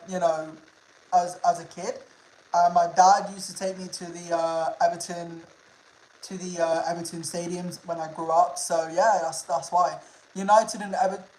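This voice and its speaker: A man's voice: sits high, a little mellow. A man from Liverpool with no regional accent, chatting casually on a nature livestream and answering viewers, laid-back and swearing freely. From Liverpool, England. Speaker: nature streamer. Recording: echoey room.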